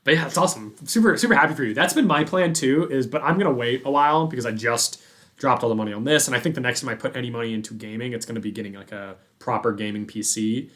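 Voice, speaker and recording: A man's voice: medium pitch, fast, a bit bright. A man hosting a gaming podcast, talking informally and enthusiastically in casual conversation. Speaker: podcast host.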